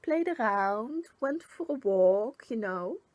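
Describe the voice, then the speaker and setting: A woman's voice: on the high side, animated, slow pace, a little mellow, no echo. A woman voicing a small, wild, impatient female storybook creature, indignant and haughty, with emphatic delivery. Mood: feigned innocence.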